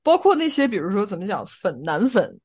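A woman's voice: mid-range voice, big pitch swings, brisk, darkish tone, slightly rough. A woman podcast host talking casually in a reflective, explanatory way during a discussion.

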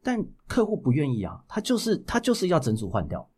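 A man's voice: fairly high pitch, huge pitch swings, brisk, darkish tone, husky. A man with a Taiwanese Mandarin accent speaking as a guest voice actor in a casual podcast interview, explaining things and rephrasing his points for emphasis.

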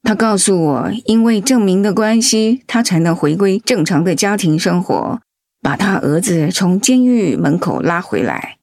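A woman's voice: mid-range voice, big pitch swings, moderate pace, creaky, a little mellow, no echo, studio quality. A woman playing a caring, frank older-sisterly friend in a radio drama, speaking sincerely and earnestly. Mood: calm, recounting. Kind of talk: Mandarin radio drama acting.

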